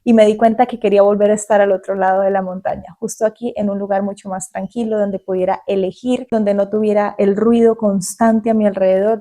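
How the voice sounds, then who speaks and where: A warm, mellow-voiced woman in her forties from Colombia talks earnestly to her viewer. She speaks at a steady, unhurried pace in a reflective monologue. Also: mid-range voice. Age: 40s. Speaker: vlogger. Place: outdoors in the countryside facing mountains.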